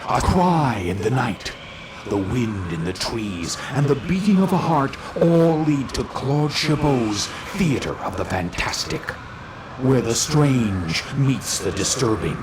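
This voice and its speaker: Male announcer, deliberate and ominous, with background noise. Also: medium pitch, expressive. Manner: slow, ominous delivery. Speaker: show announcer. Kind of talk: scripted show introduction.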